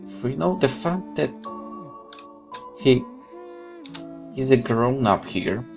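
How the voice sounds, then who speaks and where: A man's voice: mid-range voice, animated, slow pace, creaky, faint music behind. A man reading a horror visual novel aloud as a let's player, with casual commentary of his own.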